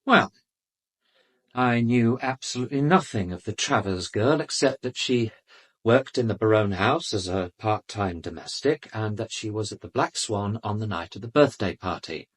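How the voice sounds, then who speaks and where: A snooty british doctor in a radio play, lowish rough-edged voice, very precise and defensive. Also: male voice, animated, moderate pace. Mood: dismissive, defensive. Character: arrogant, urbane male doctor under police questioning. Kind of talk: radio drama acting.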